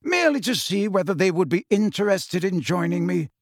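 Audiobook role: wise old male headmaster acted by a man, courteous, calm, gently ironic, widely varied intonation, dry close sound. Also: high voice, a little fast, no room sound, pitched above the performer's own voice. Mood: pleasant, amused. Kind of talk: audiobook character voice.

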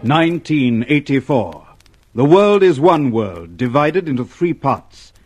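Guy narrating an old style radio drama, solemn and declamatory, raspy, big swings in pitch. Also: sing-song, measured pace, soft background music. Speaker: narrator and announcer.

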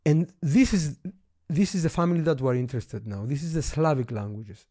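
A man's voice: on the high side, fairly quick, warm tone. A man with a Greek accent, teaching history in a casual lesson, eagerly explaining and thinking aloud as he points things out.

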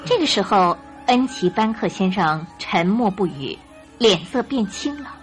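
A woman's voice: mid-range voice, expressive, unhurried. A woman narrating a scripted radio drama as a storyteller. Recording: radio drama with music underneath.

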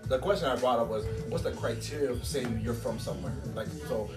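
A man's voice: mid-range voice, a little fast. A man in a casual group chat, telling stories in a rambling way and circling back to repeat and rephrase his questions. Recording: music behind the voice. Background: music.

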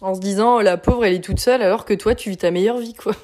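A woman's voice: medium pitch, quick pace, warm tone. A woman of twenty-four in a casual conversation, asking questions with hesitant fillers.

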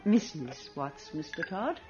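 A woman's voice: low-pitched, sing-song, slow pace, highs cut off, full character voice. A woman playing a polite, prim married lady in an old-time radio drama. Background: music.

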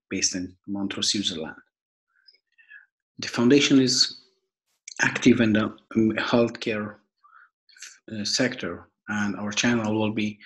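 A young man from Afghanistan with an Afghan accent, giving a prepared podcast introduction in a formal, halting way, often repeating and rephrasing. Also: fairly low pitch, a bit bright, rough recording. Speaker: podcast host and foundation worker.

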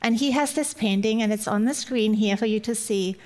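A woman's voice: fairly high pitch, brisk, darkish tone. A middle-aged woman from Germany with a German accent, giving a personal, lightly humorous church sermon as a guest speaker. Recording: long hall-like reverb.

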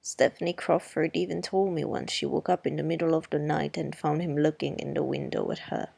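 A woman reading the part of a wry, sharp-tongued middle-aged neighbor, speaking slowly, dryly and grimly. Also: sits low, fairly even intonation, gravelly, breathy edge, no room sound, pitched below the performer's own voice, heavily acted. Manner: slow, dry and grim. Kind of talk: audiobook character reading.

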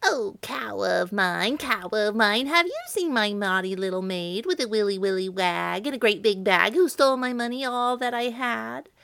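A woman's voice: mid-range voice, normal speed, vocal fry, hardly any pauses, fairly bright tone, clear, resonant tone. A woman voicing an angry old witch calling out from her broomstick in a sing-song chant. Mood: calling angrily.

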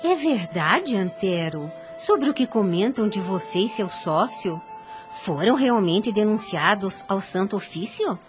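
A woman's voice: medium pitch, lively intonation, a little slow, music in the background, close to an everyday voice. An actress playing a devout, dignified young widowed mother in a radio soap opera, speaking formal Portuguese with a Brazilian accent in an earnest way. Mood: concerned. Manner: formal, earnest, literary diction.

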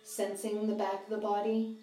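A woman guiding a mindfulness meditation, giving calm, measured instructions in short phrases. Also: medium pitch. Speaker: meditation guide. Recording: slightly distant roomy sound, music behind.

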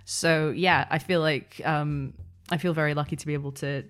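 A woman's voice: low voice, fairly even intonation, moderate pace. A woman being interviewed as a guest, speaking casually and thoughtfully, feeling her way through her phrases. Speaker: interview guest, podcast producer.

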